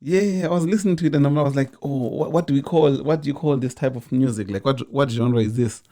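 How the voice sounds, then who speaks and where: A man hosting a casual interview, relaxed and repetitive, filling in with lots of agreeing. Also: mid-range voice, quick pace. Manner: relaxed, repeats words, lots of yeah.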